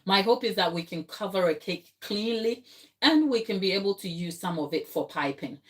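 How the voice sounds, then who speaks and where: A woman's voice: mid-range voice, expressive, moderate pace, a bit bright. A woman from Ghana hosting a live cake tutorial from her kitchen, explaining steps and answering viewers in the chat. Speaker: baking channel host. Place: home kitchen.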